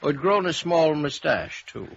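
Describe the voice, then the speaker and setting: An actor playing an elderly, genteel former film director who speaks hesitantly and rambles, with a husky, creaky voice, in a vintage radio drama. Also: male voice, on the high side, animated, normal speed. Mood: hesitant, reminiscing. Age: older. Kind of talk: 1950s radio drama acting. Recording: dull vintage radio recording.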